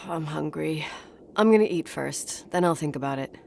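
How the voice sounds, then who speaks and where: A guarded young woman with a fairly low voice. She speaks with dry sarcasm in a naturalistic video game style. There is clear noise behind her voice. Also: normal speed, darkish tone. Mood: dry, weary.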